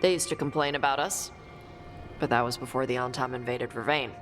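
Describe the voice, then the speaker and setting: A gruff, clipped dragon hunter. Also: female voice, rumbling low, fairly even intonation, fairly quick, over music. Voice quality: clipped, blunt, dismissive. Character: blunt young dragon hunter, terse and gruff. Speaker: treasure hunter. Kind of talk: video game cutscene dialogue.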